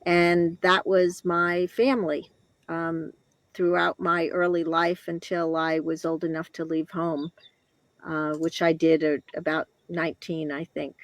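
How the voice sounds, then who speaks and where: Older American female interview guest, halting personal storytelling, very leisurely pace, dry close sound. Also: low voice, level tone, no echo. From Connecticut, northeastern United States. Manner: reflective, halting, pausing between short phrases. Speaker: guest telling own life story.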